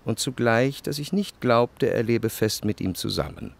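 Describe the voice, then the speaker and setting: An actor playing a reflective, well-off man of letters, narrating a literary radio play in a measured, analytical way. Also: mid-range voice, animated, vocal fry. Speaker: first-person narrator.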